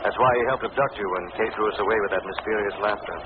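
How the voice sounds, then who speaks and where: A man's voice: on the low side, rapid-fire, close to an everyday voice. Man playing commanding crime fighter, thin noisy recording. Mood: calm, knowing. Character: shrewd, calm male crime-fighting avenger, confident and commanding. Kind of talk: 1940s radio acting. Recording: noisy, narrowband vintage radio recording.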